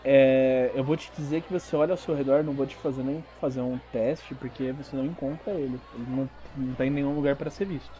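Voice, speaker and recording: A Brazilian man working as game master, narrating a tabletop RPG audio drama with dramatic pauses and informal asides. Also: mid-range voice, fairly even intonation, dark tone. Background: background music.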